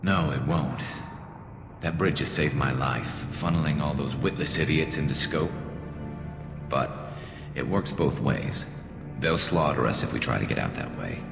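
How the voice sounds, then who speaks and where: A man voicing a wry male alien sniper vigilante in a video game cutscene, speaking in a deadpan, dry way. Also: extremely low pitch, measured pace, gravelly, pauses often, music in the background, heavily acted. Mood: calm. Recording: reverberant game mix with score.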